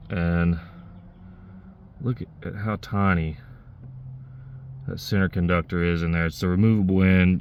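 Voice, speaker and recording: A man's voice: low-pitched, a little hiss or hum. A man giving a casual, unscripted DIY walkthrough, talking plainly and pointing things out as he works. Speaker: DIY vlogger demonstrating a truck CB radio install.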